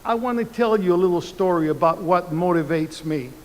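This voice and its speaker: Cuban-born older man, slow arena oratory. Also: high voice. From Cuba. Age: 70s. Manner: slow, deliberate oratory with emphatic pauses. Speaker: commencement speaker. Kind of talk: commencement speech. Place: large university arena. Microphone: podium microphone. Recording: reverberant arena sound.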